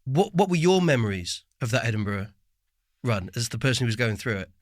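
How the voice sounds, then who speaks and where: A man's voice: medium pitch, big pitch swings, a little fast, no room sound, clean studio sound. A man co-hosting a podcast, asking loose, rambling questions full of fillers. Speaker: podcast co-host. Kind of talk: casual podcast conversation. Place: recording studio.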